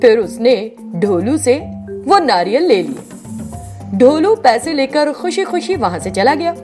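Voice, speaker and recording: A woman's voice: high-pitched, big pitch swings, slow pace, darkish tone, soft background music. A woman narrator reading a scripted children's tale in a storytelling style.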